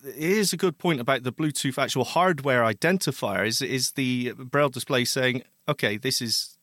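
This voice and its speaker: A man's voice: mid-range voice, normal speed, fairly bright tone, no room sound, clean studio sound. A man co-hosting a tech podcast in easygoing, agreeable casual chat. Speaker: podcast co-host.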